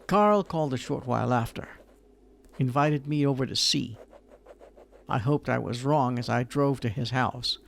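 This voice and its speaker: A man's voice: medium pitch, normal speed, gravelly, close to an everyday voice. An actor playing a frightened man who tells of dreams that draw him toward a lake, narrating in a radio drama. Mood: fearful. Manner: uneasy, fearful confession. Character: haunted man recounting a dread secret.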